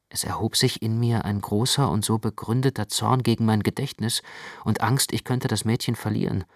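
An actor as a low-voiced, brooding male narrator. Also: lightly acted. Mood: anxious, intent. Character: restless, imperious, brooding male first-person narrator. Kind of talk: literary radio play narration.

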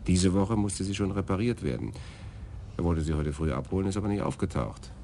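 A man's voice: sits low, brisk, mellow, a little hiss or hum. An actor playing a thorough police detective who reports his findings in a matter-of-fact way. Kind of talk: radio drama acting.

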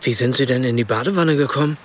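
An actor playing a curious, sceptical writer, narrating a radio play in a measured, reflective, literary way. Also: male voice, medium pitch, background noise, lightly acted.

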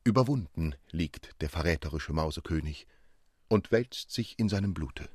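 A man's voice: sits low, lively intonation, normal speed, a little mellow, husky, dry recording, studio quality. A man voicing a gallant, devoted little nutcracker knight with ornate, courtly speech in a storybook reading. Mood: triumphant, devoted. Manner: ceremonious, ornate courtly address.

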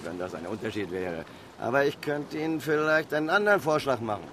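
An actor playing a practical, wary harbour pilot and boat owner in a radio play, speaking in a blunt, down-to-earth way. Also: male voice, mid-range voice, expressive, fairly quick, noisy background, lightly acted.

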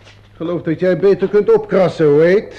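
A man playing a bossy theatre director in a radio play, brisk and authoritative, placating yet short-tempered. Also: sits high, close to an everyday voice. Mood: jealous anger. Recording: dull, noisy old radio recording.

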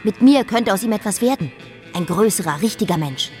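A woman's voice: mid-range voice, expressive, fairly quick, a little mellow, faint music behind, close to an everyday voice. An actress playing a proud, imperious, cutting queen in a radio drama, haughty and declamatory. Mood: demanding.

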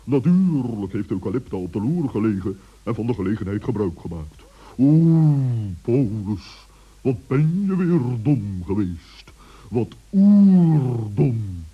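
A bossy old owl with a husky, breathy hoot. He is stern and pompous, a reproving wiseacre from an old children's radio play. The vintage recording is dull. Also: medium pitch, very animated, exaggerated voice. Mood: dismayed, scolding. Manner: pompous, reproving, drawn-out emphatic speech.

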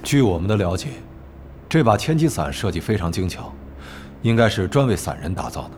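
A man's voice: low-pitched, sing-song, brisk, darkish tone, slight background noise. A man playing a cautious equipment developer, explaining hesitantly in a Mandarin audio drama.

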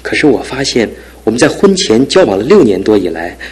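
A young husband in a radio play, speaking to his mother with quiet sincerity. His voice sits low and husky, reflective and grateful as he recovers from an injury. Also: sing-song, brisk. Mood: earnest. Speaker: son and husband. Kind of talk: radio drama acting.

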